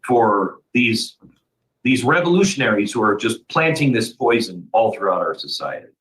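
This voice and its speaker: A man's voice: lowish voice, big pitch swings, moderate pace, fairly bright tone, low-quality recording. A man speaking on a political talk show in an earnest, urgent manner.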